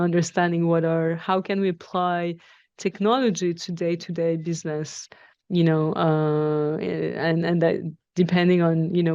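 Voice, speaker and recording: A woman's voice: on the low side, a little mellow, no room sound, muffled recording. A woman in her forties from Iran and France, answering interview questions conversationally and reflectively, rephrasing as she explains. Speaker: interview guest, technology professional.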